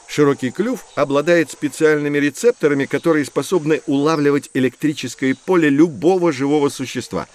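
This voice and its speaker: A man's voice: mid-range voice, close to an everyday voice. A man playing a knowledgeable, patient nature guide who explains things in a children's educational audio drama. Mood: informative. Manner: measured, didactic.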